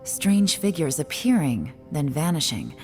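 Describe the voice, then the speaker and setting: A woman's voice: sits low, very animated, measured pace, a little mellow. An actress playing a cool, shrewd, skeptical woman fighter in a video game, composed, dry and pointed. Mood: measured, probing. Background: game music.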